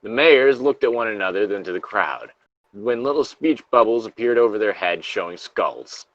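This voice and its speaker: Low-voiced man, dull dry recording. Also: lively intonation, normal speed, fairly bright tone, no echo. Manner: sweary, sardonic in asides. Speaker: co-host reading video game creepypastas. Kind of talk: story reading with casual, joking asides.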